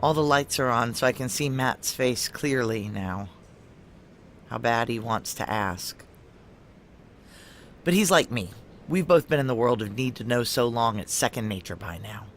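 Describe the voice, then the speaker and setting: A woman's voice: rumbling low, unhurried, long pauses, slight background noise. A woman acting a tough, guarded female security chief in a noir audio drama, terse, wry and confiding. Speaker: lead character and narrator.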